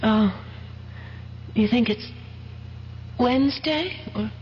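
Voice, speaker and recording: Fretful, desperate, high-voiced wife. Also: female voice, slow. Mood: weary. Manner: plaintive, emotional, dramatic. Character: desperate, fretful wife trapped in a dark cave. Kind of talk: old-time radio drama acting. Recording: dull vintage radio recording, faint noise.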